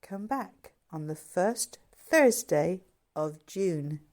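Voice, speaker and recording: A woman narrates a storybook as its author, in an expressive reading style. Her voice sits slightly low and moves with plenty of variation. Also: drawn-out words. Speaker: author reading own novel.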